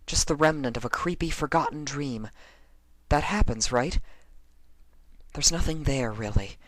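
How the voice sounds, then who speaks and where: A man's voice: medium pitch, a little slow, no echo, pitched above the performer's own voice, lightly acted. A man voicing an anxious female pony in a horror story, nervously reassuring herself. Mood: nervous reassurance.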